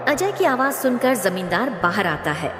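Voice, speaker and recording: A woman's voice: mid-range voice, sing-song, normal speed, fairly bright tone, faint music behind. A woman narrating a scripted cartoon moral story as its storyteller. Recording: echoey, long reverb.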